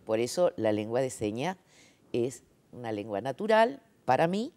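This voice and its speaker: A woman explains her work in a relaxed interview. Her pitch moves animatedly as she stresses her points. She uses frequent fillers and rhetorical checks. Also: moderate pace. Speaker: interviewee, sign language interpreter. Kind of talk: conversational interview.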